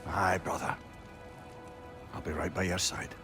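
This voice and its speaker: A man playing a loyal, gentle comrade in a fantasy video game, speaking earnestly and supportively. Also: sits low, little pitch movement, unhurried. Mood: loyal, ready. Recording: clear music behind.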